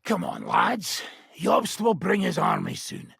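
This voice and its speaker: An actor playing a gruff, foul-mouthed, battle-hardened medieval mercenary leader in a video game, raspy and blunt, with sardonic delivery. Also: male voice, sits high, huge pitch swings, slow pace, a bit bright, no room sound, clean studio sound, heavily acted. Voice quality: raspy, gravelly. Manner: blunt, sardonic, swearing freely. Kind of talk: video game character dialogue.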